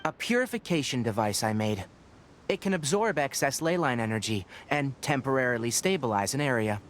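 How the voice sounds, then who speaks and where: An actor voicing a young forest ranger scholar who explains things in a measured, matter-of-fact way. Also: male voice, mid-range voice, lively intonation, fairly bright tone, a little hiss or hum, close to an everyday voice. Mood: explaining.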